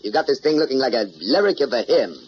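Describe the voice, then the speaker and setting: An actor playing a gruff, domineering newspaper chief in an old crime drama, blunt and sarcastic. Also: male voice, medium pitch, expressive, quick pace, lightly acted. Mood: exasperated. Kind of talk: mid-century American crime drama acting. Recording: muffled, noisy old drama soundtrack.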